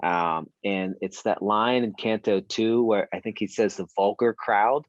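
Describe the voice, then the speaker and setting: A man with a very low voice talks eagerly in a casual group discussion, stumbling through fillers and restarts. The recording is muffled and lo-fi, with a close, dry sound. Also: a little slow, no echo. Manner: hesitant, many fillers, enthusiastic. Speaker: reading group participant.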